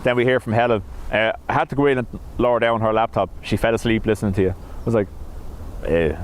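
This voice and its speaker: A man's voice: on the low side, steady tone, fast. A man hosting a live stream, chatting casually and jokingly while reading out viewer comments on a wireless microphone. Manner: chatty, jokey, self-mocking. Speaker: livestream host. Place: outdoors in a van by an airport. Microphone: wireless microphone with wind filter. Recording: wind noise on an outdoor mic.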